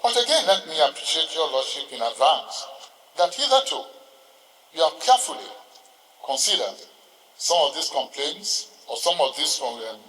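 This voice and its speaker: Nigerian guy doing a formal courtroom speech, highish voice, deliberate and emphatic, far from the mic in a echoey hall. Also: very animated, pushed and bright. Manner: oratorical, emphatic, deliberate phrasing with rhetorical repetition. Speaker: senior lawyer addressing a court. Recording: distant mic, long hall reverb.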